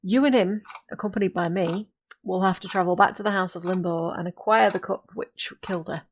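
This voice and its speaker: A woman acting a centuries-old witch in an audio drama, firm but caring, speaking earnestly in formal, measured phrases. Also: lowish voice, a little hiss or hum, natural voice. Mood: resolute.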